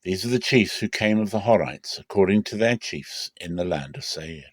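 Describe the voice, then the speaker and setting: Low-voiced man reading scripture, close and dry. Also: lively intonation, moderate pace, dry recording. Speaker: Bible reader. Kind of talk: dramatic scripture reading, measured narration.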